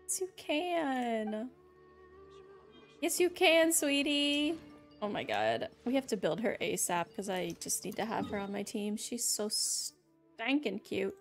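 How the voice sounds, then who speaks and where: A woman streamer chatting casually over her gameplay. Her voice is fairly high and expressive, gushing and slangy, with faint music behind her. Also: slow. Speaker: game streamer. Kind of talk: casual live gameplay commentary.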